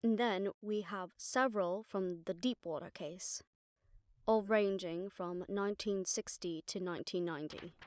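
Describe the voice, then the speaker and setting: A woman playing an exasperated, sharp-tongued witch detective in an audio roleplay, talking casually and wryly to a partner. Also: on the low side, no echo, clean studio sound, close to an everyday voice. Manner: casual, wry, mildly swearing, explanatory. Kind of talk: conversational audio roleplay acting.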